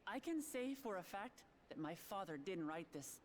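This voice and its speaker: Woman acting young game princess, confident, cheerful, articulate, medium pitch, light background ambience. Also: very animated, moderate pace, a little hiss or hum, natural voice. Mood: explaining, candid. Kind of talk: video game cutscene voice acting.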